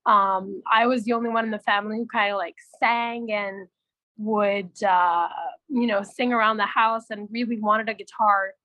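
A young woman from Canada with a naturally raspy voice, a musician guest talking casually and with animation. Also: medium pitch, normal speed, dry recording, low-quality recording. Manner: animated storytelling, laughs often, swears. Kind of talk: casual chat.

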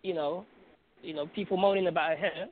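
A man's voice: high voice, fairly even intonation, a little fast. A man from Algeria chatting casually as a guest caller on a podcast, emphatic and slangy, often repeating his phrases. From Algeria, North Africa. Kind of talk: casual opinionated chat. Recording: phone-like, narrow tinny call sound.

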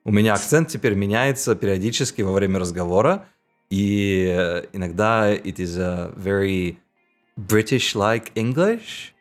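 A man's voice: lowish voice, measured pace, soft background music. A young adult man hosting a podcast, chatting casually and explaining with animation. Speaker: podcast host. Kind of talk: casual chat.

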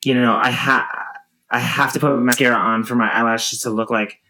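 A woman in her thirties with a low voice, talking casually and thoughtfully with many fillers and restarts. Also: steady tone, moderate pace, sharp tone, slight background noise, rough recording. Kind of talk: casual conversation.

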